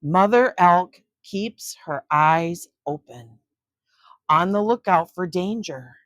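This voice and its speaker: An older woman hosting story time for children, reading a picture book aloud and chatting gently to young listeners in between. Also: fairly low pitch, slow pace, no echo. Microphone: close microphone.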